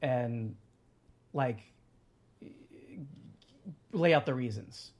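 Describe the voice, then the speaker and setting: A man's voice: medium pitch, drawn-out words, a little mellow. Rambling man in his thirties. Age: 30s. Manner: rambling, many fillers and restarts. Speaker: podcast guest. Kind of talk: casual chat.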